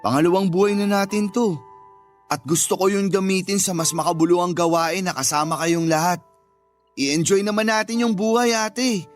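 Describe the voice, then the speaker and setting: Actor as high-voiced, devoted younger brother. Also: male voice, moderate pace, faint music behind, close to an everyday voice. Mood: earnest, reflective. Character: devoted, selfless younger brother, a gentle schoolteacher. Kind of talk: sentimental radio drama dialogue.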